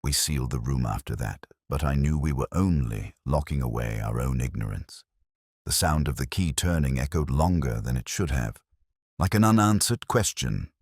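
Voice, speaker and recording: A man giving a measured, reflective first-person narration of a detective story in a literary style. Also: rumbling low, animated, a little mellow, breathy edge, no echo, clean studio sound.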